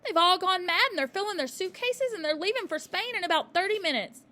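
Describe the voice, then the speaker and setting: A woman's voice: high-pitched, sing-song, normal speed, fairly bright tone, voice pushed up. A woman voicing an eager, pleading little girl. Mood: distressed. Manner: breathless, pleading, urgent. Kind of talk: storybook reading.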